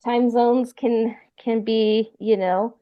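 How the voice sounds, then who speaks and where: Slow-talking woman podcast host, dull audio. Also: on the high side, fairly even intonation, no echo. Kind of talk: casual chat, interviewing.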